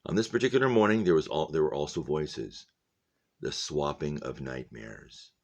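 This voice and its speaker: A man's voice: a bass voice, normal speed, a little mellow. A man reading a story aloud in the style of a teacher, with explanatory asides. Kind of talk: read-aloud narration with commentary.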